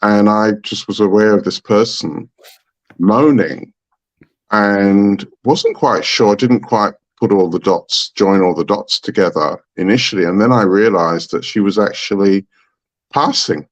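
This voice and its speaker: A man from Trinidad, a guest on a talk show, telling a story in a thoughtful, halting, unhurried way. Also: on the low side. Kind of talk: reflective storytelling in conversation.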